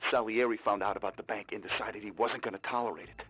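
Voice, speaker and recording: A man playing a grave 1930s mobster in a video game, speaking urgently in a husky voice. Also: lowish voice, fairly even intonation, fast, fairly bright tone. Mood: grim, urgent. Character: grave male 1930s mobster warning his friend. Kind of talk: video game cutscene acting.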